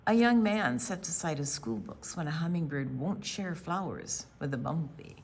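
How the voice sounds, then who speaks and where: An older woman reading a picture book to children as a storytime presenter, with deliberate storyteller phrasing and dramatic pauses. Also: low voice, expressive, slightly rough.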